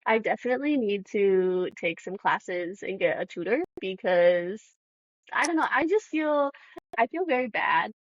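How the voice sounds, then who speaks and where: A woman's voice: mid-range voice, animated, measured pace, no room sound, highs cut off. A woman podcast host from Southern California, chatting in an upbeat, casual way and laughing easily. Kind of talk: casual chat.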